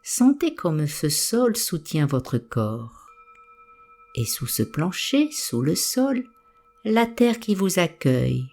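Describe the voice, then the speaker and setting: A woman guiding a meditation, giving gentle, soothing and unhurried instructions. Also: on the low side, sing-song, soft background music. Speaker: meditation guide. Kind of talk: guided meditation narration.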